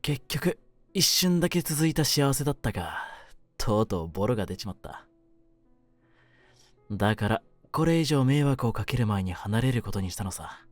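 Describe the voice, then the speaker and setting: A man playing a world-weary, lonely young mafioso in an intimate roleplay audio, speaking in a low voice with rough streetwise phrasing. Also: sing-song, moderate pace, no room sound, soft background music, close to an everyday voice. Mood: melancholy, confessional. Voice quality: low-pitched voice. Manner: rough, streetwise masculine speech, brooding and soft-spoken. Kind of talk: intimate Japanese situation-voice roleplay, ASMR-like.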